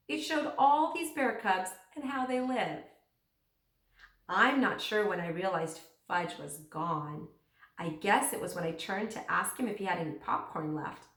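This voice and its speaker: Animated woman reading aloud in an echoey room. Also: medium pitch. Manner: expressive storytelling with acted dialogue. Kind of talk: children's book read-aloud. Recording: roomy echo, distant microphone.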